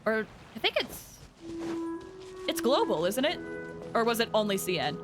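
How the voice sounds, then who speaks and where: A woman live-streaming a game, giving casual, chatty commentary and reacting out loud. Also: a little high, very animated, moderate pace, over music.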